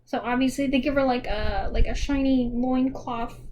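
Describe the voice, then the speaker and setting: A woman's voice: high-pitched, steady tone, normal speed, mellow, slight background noise. A young woman giving a casual video essay commentary in a chatty, informal way with dry, joking asides. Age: young adult.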